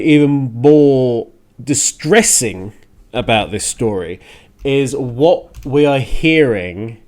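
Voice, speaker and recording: A man hosting a radio show, giving a casual, opinionated rant on the news in a halting way, restarting phrases and stressing points in exasperation. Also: mid-range voice, darkish tone.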